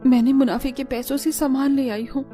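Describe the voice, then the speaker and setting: A woman plays a poor, humble wife who works as a street vendor in a moral cartoon. The voice is fairly high, gentle and plaintive, with faint music behind it. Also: steady tone, a little fast, close to an everyday voice. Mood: sad. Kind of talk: Hindi moral cartoon voice acting.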